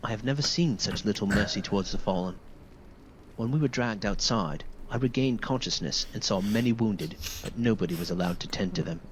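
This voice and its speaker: Actor as a solemn, low-voiced wounded soldier. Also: male voice, a little fast, a little hiss or hum, close to an everyday voice, poor audio. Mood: anguished, grim. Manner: solemn, formal, deferential. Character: wounded, grieving male soldier recounting a massacre. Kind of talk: dramatic video game dialogue.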